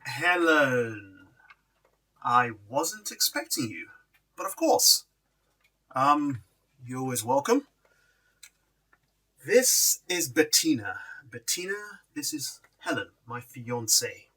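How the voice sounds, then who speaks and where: A man's voice: mid-range voice, big pitch swings, slow pace, pauses often, pushed and bright, husky, heavily acted. A man acting in an audio drama as an evasive fiancé, guarded and dismissive, recorded some distance from the microphone. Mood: flustered. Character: evasive, defensive male fiancé, office professional.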